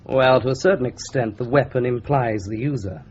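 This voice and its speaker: An actor playing a cheerful police doctor who explains a murder weapon in a lively, teasing manner, in an old radio drama. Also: male voice, mid-range voice, moderate pace, slight background noise, lightly acted. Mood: explaining. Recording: slightly dull old radio recording.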